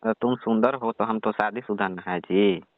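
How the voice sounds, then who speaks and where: A man acting a creaky-voiced village doctor on the phone. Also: on the low side, wildly swooping pitch, moderate pace, no echo, heavily acted. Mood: reluctant, teasing. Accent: Bihari. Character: married village doctor, reluctant, teasing, self-important. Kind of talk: comic prank-style phone call, conversational. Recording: muffled narrowband phone call.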